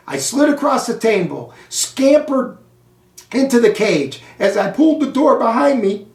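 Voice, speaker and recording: A man narrates a children's story in a very animated voice that rises and drops for effect. He leans on action words theatrically. The recording is roomy and echoing, picked up some way from the microphone. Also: extremely high pitch, gravelly. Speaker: school superintendent reading aloud to pupils. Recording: roomy echo, distant microphone.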